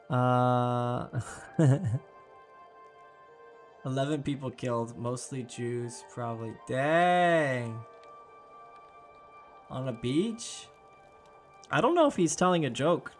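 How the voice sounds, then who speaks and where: A young man, hoarse and losing his voice, chatting casually with viewers while streaming a game. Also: mid-range voice, takes it slowly, pauses often, soft background music. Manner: chatty, talks to viewers by name. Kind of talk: casual live-stream chat.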